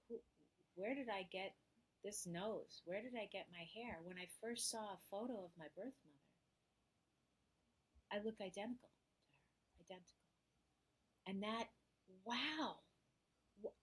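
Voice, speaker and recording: A middle-aged woman with a New York accent, speaking as an interview guest about her own life. She tells her story in an animated, emotional way and acts out the voices of the people she quotes. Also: mid-range voice, low-quality recording. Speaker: guest, therapist.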